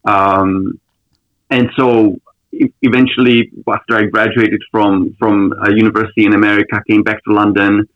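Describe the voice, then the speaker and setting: A man in his thirties from the Czech Republic, a lawyer being interviewed on a podcast, answering thoughtfully with many pauses and restarts. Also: fairly low pitch, flat delivery, no room sound, phone-quality sound. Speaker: lawyer, guest.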